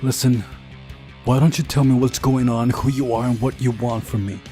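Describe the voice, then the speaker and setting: A man playing a weary, ordinary young man, the guarded and deliberate hero of a visual novel. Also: medium pitch, fairly even intonation, mellow, soft background music, close to an everyday voice.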